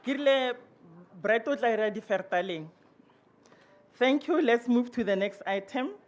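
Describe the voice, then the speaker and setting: A man emcees a formal ceremony, moving between Setswana and English as he hands over to each speaker. His voice is high for a man. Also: lively intonation, moderate pace. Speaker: program director of a ceremony. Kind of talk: ceremony MC announcements.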